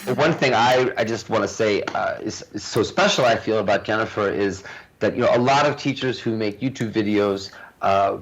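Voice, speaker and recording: A man co-host speaking in a halting way, full of fillers and pauses. His voice sits on the low side. The audio is dull and lo-fi, with the top end muffled. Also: slight background noise. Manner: halting, with many fillers, warmly appreciative. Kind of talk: live-stream chat.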